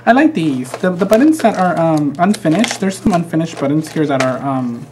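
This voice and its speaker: A man's voice: fairly high pitch, animated, moderate pace, background noise. A man with a Mexican American accent demonstrating a craft project live, chatty and easygoing with frequent ums. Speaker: craft instructor.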